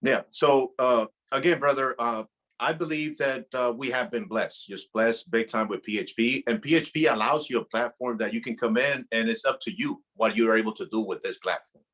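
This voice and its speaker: A man in his thirties with a slightly husky tone. He speaks as an interview guest, earnest and animated, often repeating words as he builds his point. His pitch sits at an ordinary male level. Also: normal speed, rough recording. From Puerto Rico. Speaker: guest, insurance agency director. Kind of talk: casual podcast interview.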